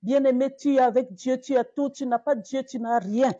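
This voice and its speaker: A woman's voice: high voice, fairly even intonation, moderate pace, dark tone, breathy edge, dry recording, dull audio. A woman pastor from Cameroon preaching in a live-streamed sermon, repeating words and rising to emphatic peaks.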